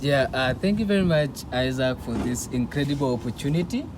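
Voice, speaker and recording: A man from Tanzania, a guest answering interview questions hesitantly, with many pauses. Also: medium pitch, animated, noisy background. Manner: hesitant, pausing often.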